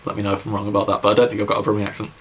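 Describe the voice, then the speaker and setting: A man's voice: fairly low pitch, completely flat delivery, racing. A young man from England, near Birmingham, with a mild Midlands accent, casually answering viewer questions in a rambling way full of fillers. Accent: English Midlands. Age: young adult. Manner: rambling, lots of fillers and "like", says so himself. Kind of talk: casual vlog Q&A.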